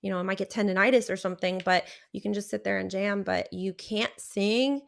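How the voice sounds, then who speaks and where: A woman in her thirties in easy, rambling livestream chat, a rock singer talking shop. Her voice sits at a middling height with a gravelly, fry-laced edge, and she wanders through her thoughts with plenty of fillers. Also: mid-range voice, fairly even intonation, brisk. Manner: rambling, chatty, full of fillers. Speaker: rock singer and stream co-host.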